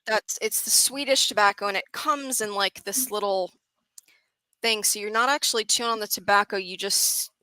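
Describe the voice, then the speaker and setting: A woman talks casually on a live stream panel. Her voice is bright and crisp-edged at an ordinary pitch, recorded dry and close. She explains things plainly and bluntly. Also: steady tone, moderate pace, no echo, rough recording. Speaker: watchalong panel guest.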